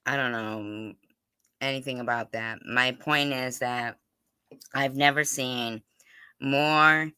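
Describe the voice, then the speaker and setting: Very bright, forceful, slow-talking woman. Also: a bass voice, fairly even intonation, smooth, no room sound. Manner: insistent, repeats herself. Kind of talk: casual argumentative chat.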